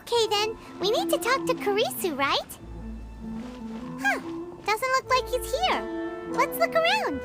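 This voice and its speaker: Squeaky, tiny childlike fairy sidekick. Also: female voice, wildly swooping pitch, unhurried, pauses often, over music, full character voice. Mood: inquisitive. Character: tiny, childlike, chatty, inquisitive fairy companion. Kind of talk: scripted video game dialogue.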